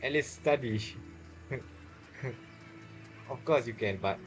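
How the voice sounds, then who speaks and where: A man's voice: mid-range voice, slow pace. A man with a Malaysian accent chatting casually and offhandedly while streaming a game. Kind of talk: casual livestream chat over gameplay. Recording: game music behind voice.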